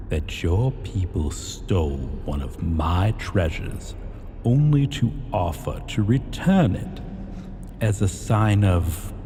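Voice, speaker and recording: A man playing an imperious, possessive dragon king in a fantasy ASMR roleplay, speaking in a commanding, deliberate and haughty manner. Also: low-pitched, warm tone, full character voice. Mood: accusing. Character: imperious male dragon king, menacing, possessive, slyly amused. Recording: long hall reverb, faint background noise.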